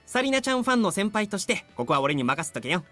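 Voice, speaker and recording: Brash, animated young anime guy. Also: high voice, rapid-fire, faint music behind, full character voice. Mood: cocky, playful. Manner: theatrical, cocky, dramatic. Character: brash, cheeky young male regular customer. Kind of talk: Japanese visual novel voice acting.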